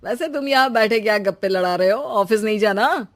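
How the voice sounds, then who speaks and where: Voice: medium pitch, brisk, a bit bright, natural voice. A performer voicing a teasing, sarcastic middle-aged husband and father with a dry, mocking manner.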